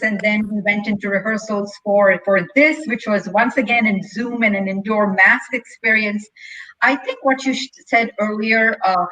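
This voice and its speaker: A woman with a bright, forceful tone talks on a video call. Her pitch is average for a woman. She phrases her reflections deliberately and with earnest, effusive feeling. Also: poor audio. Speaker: stage actor, interview guest. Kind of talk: reflective interview talk. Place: indoors at home, on a video call.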